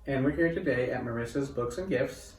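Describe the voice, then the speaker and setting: A man's voice: mid-range voice, a little fast, darkish tone. A man co-hosting and introducing an event. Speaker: co-host, publisher. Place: indoors in a bookshop. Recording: echoey, distant mic, faint noise.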